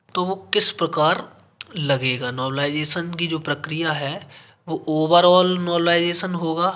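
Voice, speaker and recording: A man's voice: on the high side, pushed and bright. A man teaching, reading out an official notice and explaining it, repeating phrases for emphasis and mixing in English terms. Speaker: exam coaching teacher.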